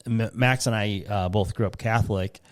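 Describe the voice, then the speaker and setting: A man with a Midwestern American accent and a fairly low voice hosts a casual talk show. He wanders through listener questions, breaking off his own sentences and dropping in joking asides. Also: steady tone, moderate pace, smooth. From Lansing, Michigan. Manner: rambling, jokey asides, interrupts himself. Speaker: podcast host.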